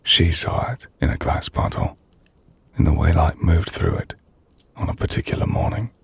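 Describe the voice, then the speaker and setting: A man playing a melancholy, gentle half-vampire nobleman in an intimate ASMR roleplay, speaking in a hushed, breathy, formal and reflective manner. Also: heavily acted. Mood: fond, wistful. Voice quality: low, gravelly, breathy. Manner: hushed, breathy, slow reflective delivery with formal, measured phrasing. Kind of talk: ASMR audio roleplay, intimate dramatic monologue.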